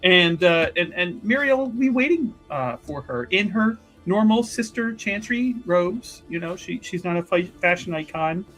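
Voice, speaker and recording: A man playing a tabletop roleplaying game, improvising his character's actions in a halting, wordy way. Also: high-pitched, lively intonation, a bit bright, faint music behind, low-quality recording.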